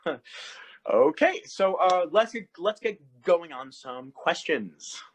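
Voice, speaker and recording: A man's voice: fairly high pitch, expressive, takes it slowly. A man playing a showy, jokey television talk-show host in a fan-made drama, announcing playfully. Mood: flustered.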